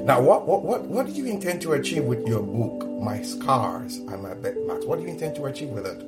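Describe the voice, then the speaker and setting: Upbeat male interviewer, mid-pitched, over loud background music. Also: sing-song, a little fast. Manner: enthusiastic, affirming. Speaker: podcast host. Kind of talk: podcast interview.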